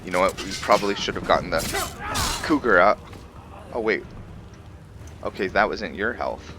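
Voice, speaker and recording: A man's voice: sits low, normal speed, background noise. A man giving casual commentary on a roleplay video game, thinking aloud to himself as he plays. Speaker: gamer hosting a roleplay playthrough.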